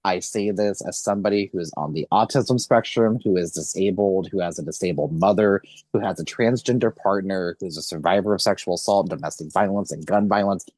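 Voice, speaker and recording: A young man with a low-pitched voice, recorded close and dry, hosts a conversational podcast. He speaks earnestly, placing deliberate emphasis on his phrases like an interviewer with a point to make. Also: a little mellow, dry recording.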